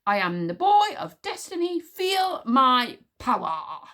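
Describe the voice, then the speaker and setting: A woman's voice: mid-range voice, sing-song, normal speed. A woman voicing a boastful, moody thirteen-year-old wizard boy in an audiobook, cocky and grandiose. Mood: triumphant, boastful. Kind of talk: audiobook character voice.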